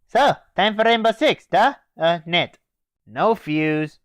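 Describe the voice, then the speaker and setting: A woman's voice: fairly low pitch, lively intonation, slow, no echo. A woman voicing a blunt, cocky male Russian soldier from a game, with a Russian accent and mocking, clipped phrasing. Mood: eager, blunt. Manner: brash, mocking interjections, clipped foreign phrasing.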